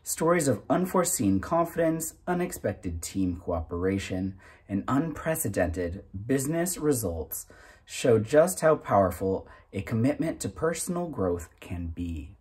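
A man in his thirties reading aloud from a self-help booklet in a deliberate, emphatic manner, occasionally stumbling over the text. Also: fairly low pitch, very animated, a little mellow, husky. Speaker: narrator reading a book aloud.

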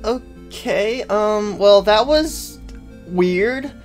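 A man's voice: high voice, drawn-out words, soft background music, exaggerated voice. A man voicing a chirpy, friendly, oblivious female toy chicken animatronic in a comedy cartoon, chatting in a flustered, rambling way. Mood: flustered, defensive. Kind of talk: amateur comedy cartoon dialogue.

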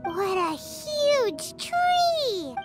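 A tiny, childlike game companion, a floating fairy girl with a very high, animated voice. She is excitable and chatty, exclaiming freely over clear background music. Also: very slow, full character voice. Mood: excited. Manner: speaks of self in third person, exclamatory, chatty. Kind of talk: English video game voice acting.

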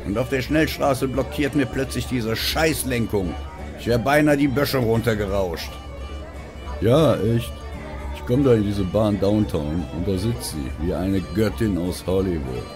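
A rowdy male barfly, acted out by a narrator man brags in excited, crude slang. The voice is pitched well up, as in an audio drama, with music behind it. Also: unhurried, lightly acted. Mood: boastful, excited.

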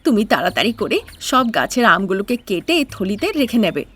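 A woman's voice: mid-range voice, sing-song, fairly quick, a bit bright, breathy edge, voice pushed down. A woman voicing a scheming, bossy middle-aged village aunt in a cartoon tale, coaxing and giving orders. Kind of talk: cartoon fairy-tale character acting.

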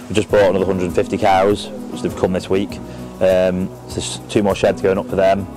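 An English farmer in his thirties talks in an interview. His voice is low and flat in melody, with music clearly underneath. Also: fairly quick, poor audio. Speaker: dairy farmer. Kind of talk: interview testimonial. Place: open cattle shed. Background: background music.

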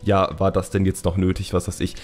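A man's voice: low-pitched, completely flat delivery, brisk, darkish tone. A man hosting a podcast in casual, candid conversation, speaking colloquially and repeating words for emphasis. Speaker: podcast host and YouTuber.

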